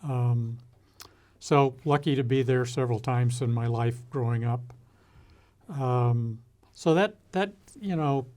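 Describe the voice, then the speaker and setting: Older American man speaking in measured phrases. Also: mid-range voice, darkish tone. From United States. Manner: measured, halting delivery with deliberate pauses. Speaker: interview guest, retired executive and former naval officer. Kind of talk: reflective interview conversation.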